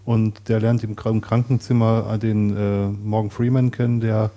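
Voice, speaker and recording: Low-voiced man, eager and stumbling. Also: little pitch movement, moderate pace, mellow. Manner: eager, repeats words while searching for them. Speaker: podcast co-host. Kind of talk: podcast interview.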